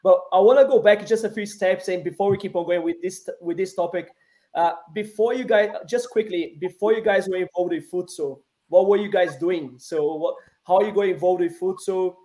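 A man's voice: sits high, normal speed, mellow. A man hosting a casual podcast interview, enthusiastically steering the conversation with questions. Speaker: podcast host.

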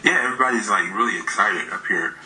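A man's voice: on the low side, fast talker, pushed and bright, soft background music, poor audio. A man in his thirties from Michigan, an indie game developer, talking casually in an interview, hesitant with many fillers and laughs, his voice slightly worn.

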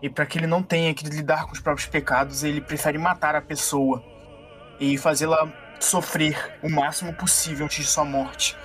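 A man with a slightly high voice narrates his character as a player in a tabletop game. The telling is improvised, dramatic and halting, over faint music. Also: level tone, fairly bright tone.

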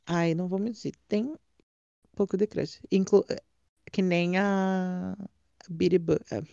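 A woman's voice: on the low side, steady tone, a little slow, creaky, mellow, no echo, lo-fi sound. A Brazilian woman co-hosting a casual chat, gushing and trailing off with fillers. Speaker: podcast co-host. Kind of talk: casual chat reviewing a drag show.

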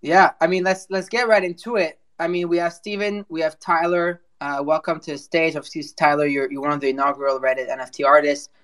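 A man's voice: a little high, fairly even intonation, fairly quick, hardly any pauses, a bit bright. Informal, rambling male chat host. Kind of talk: casual live chat hosting.

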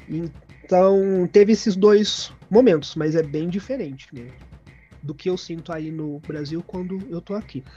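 A man's voice: fairly high pitch, mellow. A man with a Brazilian Portuguese accent, telling personal stories in a conversational way as an interview guest. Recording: dull lo-fi audio with music behind.

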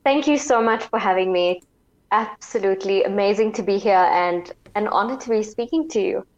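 A woman's voice: medium pitch, normal speed, highs cut off. A young woman in her early twenties with a South African accent, a gracious, enthusiastic interview guest. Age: early 20s.

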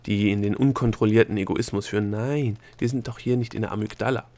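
A man's voice: on the low side, steady tone, a little fast, lightly acted. Man voicing an exasperated, emphatic storybook gnome. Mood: persuasive. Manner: loud, emphatic, wheedling. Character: exasperated, fast-talking male gnome pleading and persuading. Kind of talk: storybook character dialogue.

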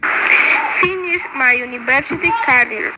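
A woman's voice: high-pitched, measured pace. A woman, giving careful, rehearsed interview answers in English. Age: 20s. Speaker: job candidate, university student. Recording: clearly noisy recording with ambience.

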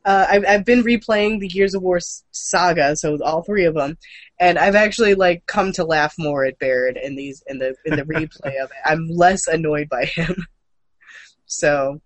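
A man with a fairly high voice hosts a casual chat show. He talks informally, with the odd mild curse. The sound is dry, with very little room to it. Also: moderate pace, no room sound. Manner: informal, mildly profane. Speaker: show host. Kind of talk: casual co-hosted chat about gaming and comics news.

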